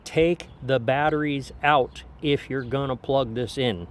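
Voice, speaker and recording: A man's voice: medium pitch, a little slow. A man giving a casual, explanatory hands-on product review in an informal way, speaking outdoors on a deck. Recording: outdoor background noise.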